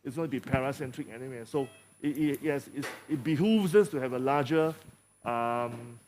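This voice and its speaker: A man with a Malaysian English accent, a surgeon presenting a case in halting speech with many fillers and jokey asides. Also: medium pitch, darkish tone, slight background noise. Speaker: surgeon leading a live demonstration.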